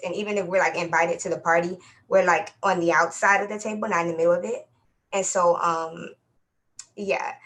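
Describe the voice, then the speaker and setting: A woman talks loosely and informally on a panel, filling her speech with "like" and "you know what I mean." Her voice sits low and stays flat. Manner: casual, slangy, many "like" fillers. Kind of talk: casual panel talk.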